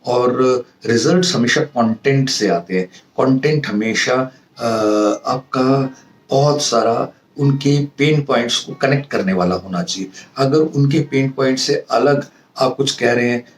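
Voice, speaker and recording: Slow-talking Indian man in an echoey room. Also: medium pitch, slight background noise. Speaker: professor giving a public speaking lesson. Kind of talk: informal instructional monologue to viewers. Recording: roomy echo, distant mic, music behind.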